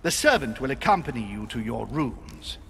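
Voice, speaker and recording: Mannered, swooping aristocratic host. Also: male voice, medium pitch, big pitch swings, normal speed, gravelly, fairly bright tone, slight background noise. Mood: polite, reassuring. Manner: courtly, theatrical, polite. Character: genteel male aristocratic host, courteous and mannered. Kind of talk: video game cutscene acting.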